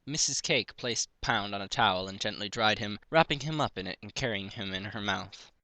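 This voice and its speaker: A man's voice: fairly low pitch, moderate pace, bright, forceful tone, dry recording. A man narrating a piece of fan fiction, reading it aloud as a story.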